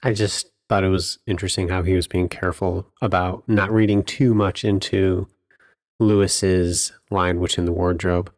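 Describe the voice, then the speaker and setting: A man's voice: low-pitched, little pitch movement, moderate pace, dry recording, studio quality. A man co-hosting a podcast, chatting casually about books. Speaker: podcast co-host. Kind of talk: casual chat.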